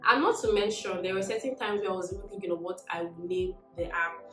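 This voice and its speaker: A woman's voice: mid-range voice, fairly even intonation, fast talker, over music. Upbeat young woman vlogging in a roomy space. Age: young adult. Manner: upbeat, encouraging, gestures while talking. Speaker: tech vlogger. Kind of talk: casual storytelling to camera. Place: indoor living room.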